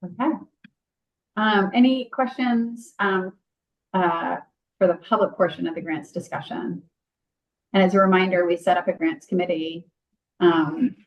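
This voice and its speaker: A woman chairing a commission meeting, calling votes and moving briskly through the agenda. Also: lowish voice, steady tone, dull audio. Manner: procedural, brisk.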